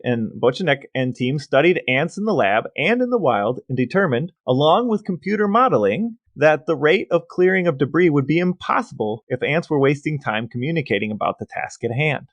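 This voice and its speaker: A man's voice: mid-range voice, lively intonation, fairly quick, hardly any pauses, no room sound. Dry close-sounding man, podcast co-host. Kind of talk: casual explanatory podcast talk.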